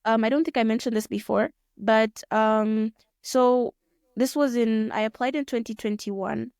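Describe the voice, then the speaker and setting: A young woman from Kenya answering interview questions in a relaxed, thoughtful way, often rephrasing mid-sentence with plenty of fillers. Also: on the high side, unhurried, smooth, dry recording, clean studio sound. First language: Swahili. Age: young adult. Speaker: guest, pilot student.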